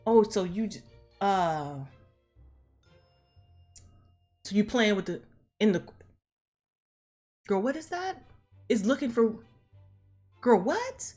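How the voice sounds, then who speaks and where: A woman's voice: medium pitch, normal speed, darkish tone, faint music behind, natural voice. A woman putting on a foul-mouthed, alarmed older scientist mother, blunt and scolding, repeating herself in a comic retelling. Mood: baffled, scolding.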